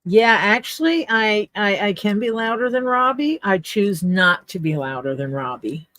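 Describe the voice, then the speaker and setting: A woman talks through her leatherwork on a livestream, chatty and unhurried as she explains each tool. Her voice sits at an ordinary middle pitch. The sound is dry and close, with no room echo. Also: lively intonation, a bit bright. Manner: chatty, explains tools step by step while working. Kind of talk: casual livestream chat while crafting. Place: home workspace.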